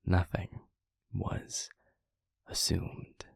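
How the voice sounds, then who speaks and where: A man voicing an adult demon mentor in an intimate roleplay audio, confiding gently in the listener in a breathy, gravelly, halting murmur. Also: no room sound. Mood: amused, exasperated. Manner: hushed, halting, unhurried, confiding. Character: adult male demon mentor, reflective, gentle, quietly amused. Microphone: close microphone, dry.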